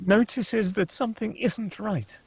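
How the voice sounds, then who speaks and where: A man's voice: high voice, measured pace, vocal fry. A man reading stage directions as the narrator of a screenplay, in a dramatic scripted style.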